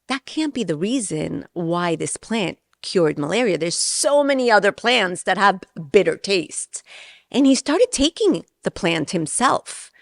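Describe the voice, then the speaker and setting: A woman's voice: on the low side, vocal fry, no room sound, studio quality. A middle-aged woman from Israel and New York, an interview guest explaining her work in an earnest, conversational way. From born in Israel, raised in New York from age ten.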